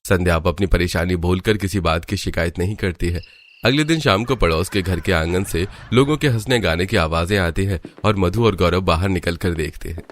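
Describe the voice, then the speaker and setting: A man's voice: deep voice, a little fast, lightly acted. A man narrating a scripted cartoon story. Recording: music behind the voice. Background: background music.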